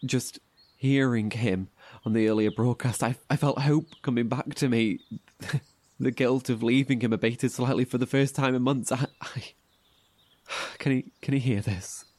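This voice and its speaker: An actor in an audio horror drama playing an anxious, devoted brother, halting and stammering with emotion. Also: male voice, medium pitch, fairly even intonation, darkish tone, no echo, lightly acted. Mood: emotional, hopeful. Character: anxious, devoted, grief-stricken brother surviving apart.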